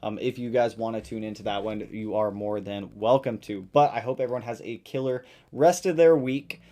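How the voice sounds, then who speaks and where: A man hosting a casual live stream, reading out viewer questions and answering them in a chatty, relaxed way, his voice tiring after a long session. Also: normal speed. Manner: greets viewers loudly with sudden jumps in pitch.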